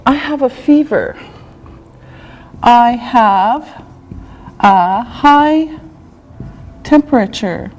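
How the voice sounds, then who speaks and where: A woman teaching a classroom vocabulary lesson, saying each phrase slowly and repeating it. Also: fairly high pitch, animated, darkish tone. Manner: slow, drawn-out repetition of words. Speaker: English teacher.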